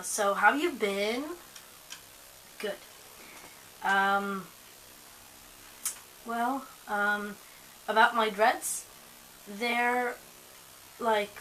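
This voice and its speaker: Slow-talking young woman with a hoarse cold voice. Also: medium pitch, bright, forceful tone, slight background noise, rough recording. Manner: rambling, many ums and likes. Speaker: vlogger. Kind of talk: casual chat to camera. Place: at home.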